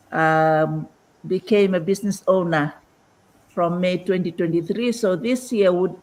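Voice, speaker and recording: Older Fijian woman, live-call guest, halting, lower pitch. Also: low-quality recording. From Fiji. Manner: hesitant, with fillers. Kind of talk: live interview introduction.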